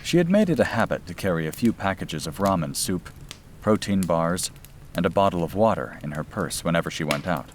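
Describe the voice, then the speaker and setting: A man's voice: low-pitched, expressive, a little fast. A man reading a scripted bedtime story as its narrator. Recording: clear background noise and ambience.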